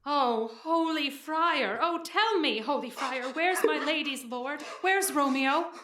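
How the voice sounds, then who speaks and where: A woman's voice: sits high, takes it slowly, heavy background noise. A woman playing a fretful, lamenting servant nurse, effusive, in classical Shakespearean stage style. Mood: distressed. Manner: wailing, repetitive, effusive. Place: indoor performance space. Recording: echoey stage recording.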